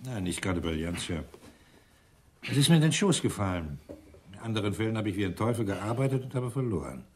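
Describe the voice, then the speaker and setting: Grumbling low-voiced police inspector. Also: male voice, very animated, fairly quick, a little hiss or hum. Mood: dry, sardonic. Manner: grumbling, blustering, self-deprecating. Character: grumpy, gloomy police inspector tormented by toothache. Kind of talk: German radio crime drama acting.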